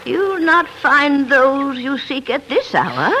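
A woman's voice: sits high, very animated, pushed and bright, heavily acted. Mysterious old woman, kindly, 1940s radio storybook style, hugely varied intonation, noisy old recording. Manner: slow, storybook-like delivery.

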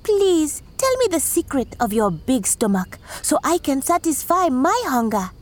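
A woman's voice: high-pitched, big pitch swings, measured pace, voice pushed up. A woman voicing a greedy, pleading tortoise in a children's folktale, with a whiny, insistent, wheedling manner. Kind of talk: animated children's storytelling.

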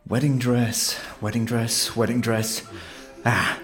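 A man's voice: mid-range voice, flat delivery, slow, a bit bright, faint music behind. A man playing a bossy, impatient agent in an audio drama comedy, terse and commanding. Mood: frustrated.

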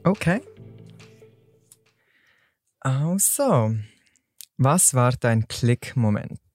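A man's voice: medium pitch, lively intonation, drawn-out words, a little mellow, no room sound, studio quality, faint music behind. A young man from Solothurn with a Swiss German accent, a podcast guest talking in an easygoing, slightly hesitant way. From Solothurn, Switzerland. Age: young adult. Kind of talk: casual podcast chat.

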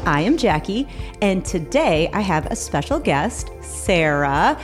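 A woman's voice: on the low side, very animated, unhurried, faint music behind. A middle-aged woman hosting a podcast, talking casually and earnestly as a parent giving practical advice. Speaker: podcast host.

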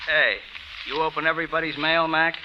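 An actor playing a flippant, slangy American newspaper reporter with an offhand manner in an old-time radio drama. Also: male voice, on the high side, steady tone, fairly quick, lightly acted. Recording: lo-fi old radio recording, faint noise.

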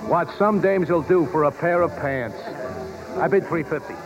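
An actor playing a skeptical, wisecracking stagehand in a muffled 1950s radio drama with music. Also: male voice, on the high side, moderate pace, lightly acted. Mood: wry, curious.

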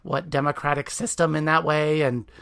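A woman's voice: low voice, little pitch movement, normal speed, vocal fry, a bit bright. A woman hosting an interview podcast, introducing her guest and praising her work with enthusiasm. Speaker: podcast host.

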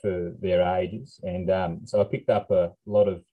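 A man's voice: deep voice, little pitch movement, measured pace, slightly rough, narrow, tinny audio. An Australian man from New South Wales chatting casually as a podcast guest, recalling things in a laid-back way. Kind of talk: casual chat, personal recollection.

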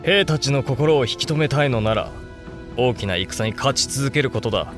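A brooding samurai lord acted by a man with a mid-pitched male voice. He speaks with grave, deliberate authority, as in a historical radio play, with music underneath. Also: animated, natural voice. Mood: firm. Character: brooding, resolute samurai lord and elder brother. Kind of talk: Japanese period audio drama acting.

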